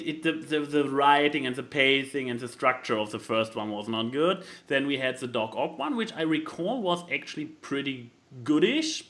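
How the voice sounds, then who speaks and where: A man chatting casually about films as a podcast host, in an animated, rambling way that often stalls and repeats itself mid-thought. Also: fairly high pitch. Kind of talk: casual film chat.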